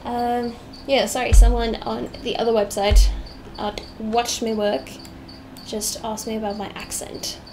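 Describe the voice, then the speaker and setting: A woman's voice: on the high side, unhurried. A young South African woman with a mixed British and American-tinged accent, chatting and humming to herself as she draws on a live stream. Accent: mixed British and American-tinged English. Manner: chatty, hums to herself, meandering. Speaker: live-streaming digital artist. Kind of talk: thinking aloud while drawing on a live stream. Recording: steady noise with crickets behind.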